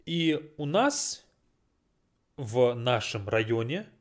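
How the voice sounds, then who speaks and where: A young man teaching beginners, speaking deliberately and repeating phrases to translate them. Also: mid-range voice, very animated. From Russia. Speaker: language teacher. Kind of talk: slow teaching monologue mixing Russian and English.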